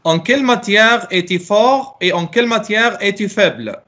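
A man's voice: sits high, normal speed, pushed and bright. A middle-aged man teaching a French class over a video call, calling on students and patiently correcting their answers. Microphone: laptop mic on a video call.

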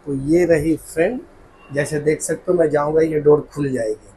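A man's voice: a little high, steady tone, normal speed, mellow, a little hiss or hum, low-quality recording. A man in his thirties vlogging a train tour, chatty and addressing viewers as friends, filming himself with a phone at arm's length. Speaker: travel vlogger. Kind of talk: casual travel vlog tour. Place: train platform and train coach interior. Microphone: phone held at arm's length.

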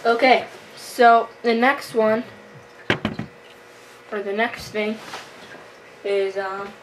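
A young woman talks through fishing gear in a casual vlog style. Her delivery is slow and halting with very little melody. The amateur camera recording has clear background noise. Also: a little high, steady tone. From Canada. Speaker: fishing vlogger. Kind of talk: casual product tour. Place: room at home with wooden floor. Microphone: camera microphone. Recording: noisy amateur camera recording, handling clicks.

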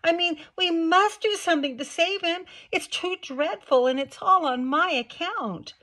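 A woman's voice: very high voice, a little slow, pushed and bright, pitched above the performer's own voice, exaggerated voice. A woman voicing an earnest young girl who pleads with breathless urgency. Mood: pleading.

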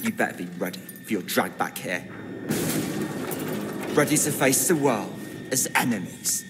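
A man's voice: expressive, a little slow. An actor playing a bitter, defiant adolescent boy who leads rebels in a video game, speaking in a cold, taunting way. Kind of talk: dramatic game cutscene acting. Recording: loud rumbling noise, long hall reverb.

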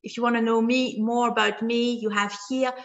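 A woman's voice: on the high side, fairly even intonation, moderate pace, dull audio. A woman in her forties from France and Switzerland with a French accent, giving a friendly, self-deprecating webinar lecture over a video call. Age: 40s. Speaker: guest lecturer. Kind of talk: webinar presentation.

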